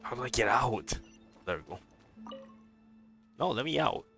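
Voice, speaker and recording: A man's voice: mid-range voice, sing-song, measured pace, vocal fry, sharp tone. A man giving casual let's play commentary as he streams a video game. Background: game music.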